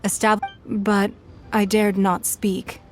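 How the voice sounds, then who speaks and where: A woman's voice: mid-range voice, flat delivery, soft background music, natural voice. Woman playing a composed, formal leader. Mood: earnest, respectful. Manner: formal, measured, persuasive. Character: composed, diplomatic woman leader, quietly resolute. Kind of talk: video game voice acting.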